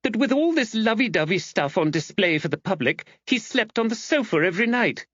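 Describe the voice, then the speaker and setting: A man's voice: sits high, very animated, fairly bright tone, dry recording, dull audio, voice pushed up, full character voice. A man voicing an upset young woman in an audiobook, earnest, insistent and suspicious. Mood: eager, indignant. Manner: emphatic, earnest, rushed. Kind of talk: audiobook character dialogue.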